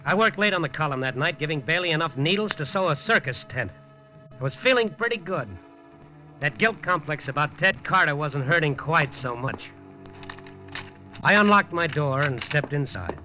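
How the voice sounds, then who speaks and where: A tough, wisecracking night-beat reporter, talks in a bright, clipped, wry way. The sound is a dull old radio broadcast with a little music underneath. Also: male voice, on the high side, animated, moderate pace. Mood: narrating. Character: hard-boiled male newspaper reporter, wisecracking. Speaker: lead actor and narrator. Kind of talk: 1950s radio drama acting.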